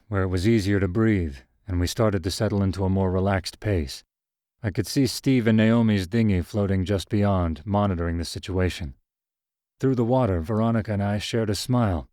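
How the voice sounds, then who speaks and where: Low-voiced man narrating a story, dry close mic. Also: dry recording. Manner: measured, even storytelling. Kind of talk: scripted first-person story narration.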